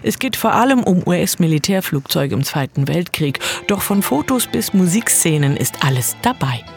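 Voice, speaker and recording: Female arts reporter, animated voice-over, faint music beneath. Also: on the low side, normal speed, vocal fry. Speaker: culture reporter. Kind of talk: scripted voice-over narration.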